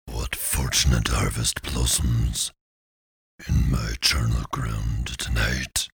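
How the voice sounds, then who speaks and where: A narrator voicing a monstrous, gloating creature of the dark with a gravelly growl and slow, ominous delivery in an audiobook story. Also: a bass voice, little pitch movement, dry recording, studio quality, pitched below the performer's own voice, full character voice. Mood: gloating menace. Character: monstrous gloating charnel-ground creature speaking from the forest darkness.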